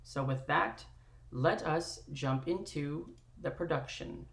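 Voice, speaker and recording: A woman's voice: low voice, slow pace, creaky. A woman hosting a live-streamed table read, introducing it and narrating from a script.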